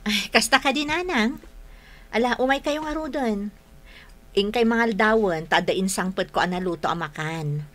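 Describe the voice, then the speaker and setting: A woman's voice: medium pitch, moderate pace, close to an everyday voice. A woman with an Ilocano accent playing a married young mother in a radio drama, dutiful and firm but easily flustered. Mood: reassuring.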